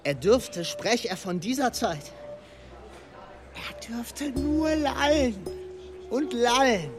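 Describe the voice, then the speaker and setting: Slow, high-pitched drunk declaiming man. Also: animated, over music, exaggerated voice. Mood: drunken, exalted. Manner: halting, repetitive declamation. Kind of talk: theatrical recitation in a radio play.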